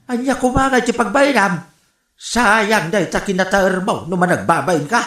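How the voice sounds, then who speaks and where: Exasperated teasing dad, Ilocano accent, scolding, lively intonation, radio comedy drama, roomy echo. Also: male voice, high-pitched, normal speed. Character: nagging, exasperated father teasing his bashful son.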